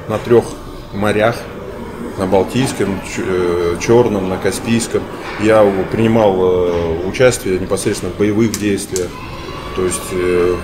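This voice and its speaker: A man answering interview questions on a sofa in a big indoor hall, speaking in measured phrases with many hesitant fillers. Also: lowish voice. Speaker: interview guest. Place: large bright indoor hall, seated on a sofa. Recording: noisy room ambience.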